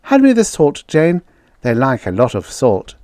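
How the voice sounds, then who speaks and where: A man voicing a brisk, bossy, stubbornly practical woman settler in a story, coaxing and defiant by turns. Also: medium pitch, wildly swooping pitch, warm tone, dry recording, studio quality, pitched above the performer's own voice.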